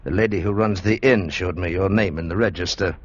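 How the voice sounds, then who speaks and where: An actor playing a calm, self-assured male psychiatrist explaining things in a measured, authoritative way in a radio drama. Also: sits low. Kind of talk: vintage radio drama acting. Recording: muffled vintage radio broadcast recording.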